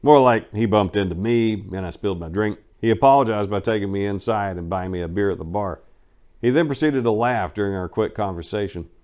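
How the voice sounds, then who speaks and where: A man's voice: fairly low pitch, brisk, darkish tone, smooth. A man giving a scripted dramatic reading of a first-person memoir, as an audiobook narrator. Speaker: audiobook reader.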